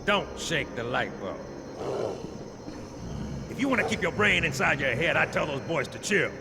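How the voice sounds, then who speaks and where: Brash wisecracking soldier, raised pitch. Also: male voice, very animated, moderate pace, creaky. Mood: cocky threat. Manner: sardonic, slangy. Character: tough, wisecracking human soldier, brash and cocky. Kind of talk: dramatic video game cutscene acting. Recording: noisy background, long reverb.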